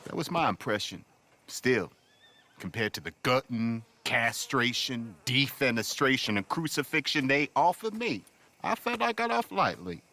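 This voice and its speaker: An actor playing a wordy, wry travelling doctor in a video game, with florid, pompous phrasing. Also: male voice, mid-range voice, big pitch swings, a little slow, bright, forceful tone, full character voice. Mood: wry, rueful. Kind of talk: video game cutscene acting.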